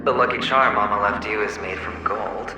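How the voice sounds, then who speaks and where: An actor voicing the mocking, theatrical inner self of a young gambler in a video game, with drawn-out, swaggering delivery and an echo on the voice. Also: male voice, lowish voice, steady tone, background noise. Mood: needling. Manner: mocking, drawn-out, sing-song swagger with sighs and chuckles. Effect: echoing reverb on the voice. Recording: echoing game cutscene with music. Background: music.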